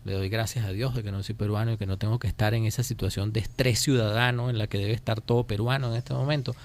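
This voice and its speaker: This is a man with a Venezuelan accent and a fairly low voice with a creaky, gravelly edge. He speaks as a guest in a political radio interview. His delivery is deliberate and emphatic, often restating a phrase to press the point. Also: fairly even intonation. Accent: Venezuelan Spanish. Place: radio studio.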